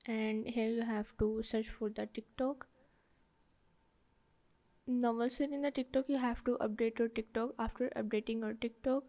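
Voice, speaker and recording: A woman's voice: on the high side, dark tone. A woman presenting a step-by-step how-to tutorial in an instructional, repetitive way. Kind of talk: step-by-step screen tutorial narration.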